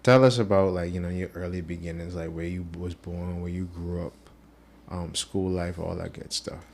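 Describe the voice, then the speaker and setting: A man's voice: deep voice, steady tone, normal speed, a little mellow, a little hiss or hum. A man hosting a podcast, asking loose, rambling questions with many fillers. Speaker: podcast host. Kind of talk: casual interview questions.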